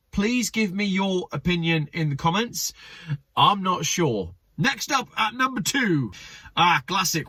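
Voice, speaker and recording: A man's voice: fairly high pitch, fairly bright tone, no echo. A man hosting his channel, reading memes aloud in casual commentary with wry, sarcastic asides and a slightly stuffy, nasal voice. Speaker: channel host.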